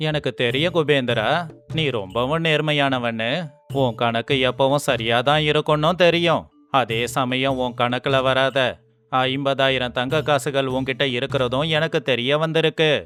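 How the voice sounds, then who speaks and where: A man's voice: mid-range voice, steady tone, normal speed, a bit bright, natural voice. Man acting a shrewd, sarcastic king over music. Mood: mock sympathy. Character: shrewd, sarcastic scholar sitting as king, commanding. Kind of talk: animated moral story acting.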